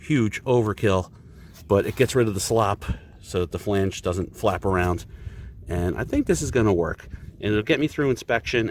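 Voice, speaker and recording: A man's voice: deep voice, a little hiss or hum. A man hosting a casual do-it-yourself car repair vlog, talking in a rambling, self-deprecating way with a stuffy nose. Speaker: DIY video host.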